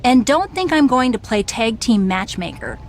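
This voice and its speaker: An actress playing a wry, no-nonsense female wrestling official in a video game cutscene, speaking briskly. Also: on the high side, sing-song, a little hiss or hum. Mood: brisk, firm.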